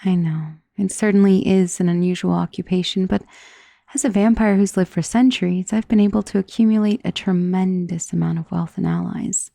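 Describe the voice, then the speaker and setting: A woman playing a centuries-old, wealthy vampire in an ASMR roleplay, doting and possessive, speaking in a soft, intimate, genteel and commanding manner. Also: on the low side, steady tone, gravelly, no room sound, clean studio sound. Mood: composed, explaining. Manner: soft, intimate, genteel, unhurried endearments, often dropping into whisper. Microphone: close microphone in a dry space.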